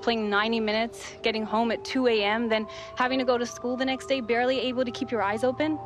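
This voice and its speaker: A woman's voice: fairly high pitch, steady tone, fast, natural voice. An actress playing a determined, blunt teenage girl footballer and younger sister in a video game drama. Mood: challenging, impassioned. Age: young adult. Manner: blunt, challenging, impassioned. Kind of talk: dramatic game cutscene dialogue. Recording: clear music behind the voice.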